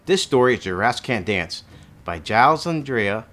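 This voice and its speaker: Lively male children's storyteller. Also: medium pitch, moderate pace. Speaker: storyteller, host. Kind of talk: expressive children's picture-book narration. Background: background music.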